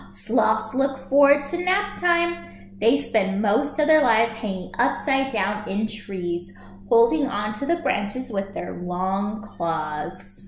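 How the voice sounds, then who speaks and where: A woman in her thirties reading a picture book aloud for young children as a storytime host, expressive and clearly paced. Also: on the high side, slow pace, a little hiss or hum. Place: small room at home, indoors by a shuttered window. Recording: echoey home room.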